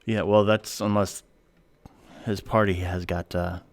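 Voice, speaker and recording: A man hosting a live game stream, chatting casually and narrating his moves in a relaxed, self-mocking way. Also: sits low, unhurried, no room sound. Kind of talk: casual live-stream chat while playing.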